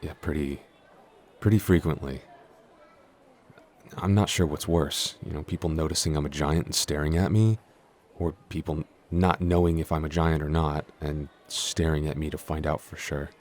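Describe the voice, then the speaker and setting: A man's voice: rumbling low, fairly even intonation, normal speed, a little mellow. A man playing a shy, clumsy giant in a roleplay audio, stammering, hesitant and constantly apologetic. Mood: resigned, shy. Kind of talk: intimate roleplay audio acting.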